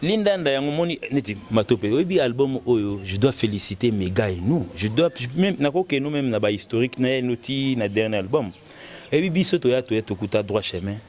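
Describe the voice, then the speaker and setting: Congolese man, halting and repetitive talker. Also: medium pitch, lively intonation, darkish tone. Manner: halting, repeating words as the argument builds. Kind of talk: casual opinionated talk mixing French and Lingala.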